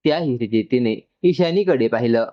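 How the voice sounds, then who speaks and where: A male narrator reads a scripted, emotional story. His voice is mid-pitched, and the recording is slightly dull and lo-fi. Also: animated, fairly quick.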